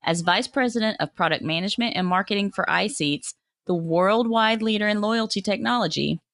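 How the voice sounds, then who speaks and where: A woman co-hosting a business podcast, her voice a little scratchy from a cold coming on. She reads the guest introduction in a measured way and then asks friendly questions. The sound is dry and close, with no room echo. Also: mid-range voice, vocal fry, fairly bright tone. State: slightly hoarse, coming down with a cold. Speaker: podcast co-host.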